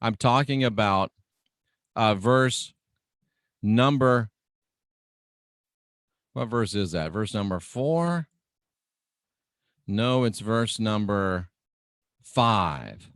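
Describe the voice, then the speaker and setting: A man's voice: on the low side, expressive, extremely slow, long pauses, no room sound, studio quality. A middle-aged man preaching on the radio in a dramatic, emphatic teaching style, repeating phrases for weight. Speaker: radio preacher and show host.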